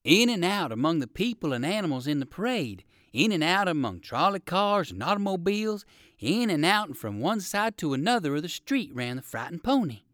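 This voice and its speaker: Expressive man telling a children's story. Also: on the high side, fairly quick, no room sound, studio quality. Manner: expressive, dramatic. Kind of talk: scripted children's story narration.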